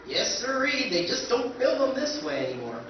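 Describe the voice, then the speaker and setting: Man acting animated, exasperated space adventurer, very echoey. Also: extremely high pitch, moderate pace, background noise, exaggerated voice. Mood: resigned. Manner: emphatic, indignant. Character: exasperated, blustering male space adventurer and narrator. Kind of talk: live recreation of 1950s radio science fiction. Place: convention performance room, picked up from afar. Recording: echoey convention hall, distant muffled sound.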